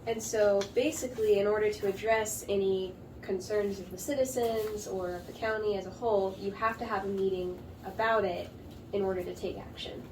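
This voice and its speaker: A woman's voice: mid-range voice, fairly even intonation, moderate pace. A woman hosting a local news show, presenting and asking interview questions. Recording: distant mic, noticeable background noise.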